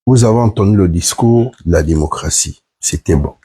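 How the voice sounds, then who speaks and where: A man's voice: low voice, lively intonation, normal speed, dark tone, slightly rough. A man, sick with the flu, earnestly explaining point by point to viewers in a live stream. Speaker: platform member hosting a live stream.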